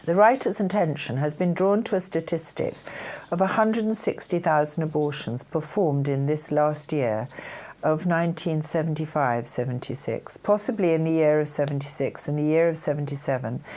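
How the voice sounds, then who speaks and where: Woman, older, British accent, low pitch, earnest, formal reading, spiritual talk. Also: normal speed, mellow. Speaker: speaker reading out spiritual messages. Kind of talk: spiritual talk with read-aloud dictations.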